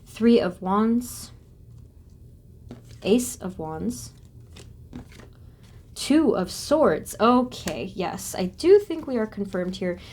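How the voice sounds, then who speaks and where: A woman reading tarot cards for her viewers, talking softly and haltingly with many pauses and restarts. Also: mid-range voice, big pitch swings, a little hiss or hum. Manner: soft, halting, with frequent ums and restarts. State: voice briefly going hoarse and quiet. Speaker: tarot reader. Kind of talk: pick-a-card tarot reading.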